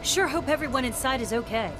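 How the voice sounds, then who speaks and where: An actress playing a casual, breezy young superheroine in a video game, speaking informally. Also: female voice, high-pitched, expressive, fast talker, heavy background noise. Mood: uneasy.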